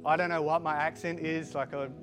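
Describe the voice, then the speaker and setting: A middle-aged man born in Switzerland and raised in Australia, with a mixed Australian accent, giving a halting personal testimony full of fillers and restarts. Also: on the high side, level tone. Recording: music playing behind the voice.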